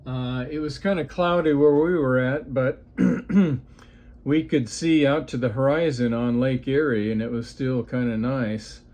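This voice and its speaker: A man from Ohio chatting on ham radio from a portable outdoor setup, unhurried and halting with frequent fillers. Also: mid-range voice, steady tone, a little mellow. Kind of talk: casual radio chat.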